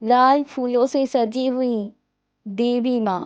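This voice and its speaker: Very slow female meditation guide. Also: fairly high pitch, fairly even intonation, clean tone, dry recording. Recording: dull, dry close recording over music. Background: music.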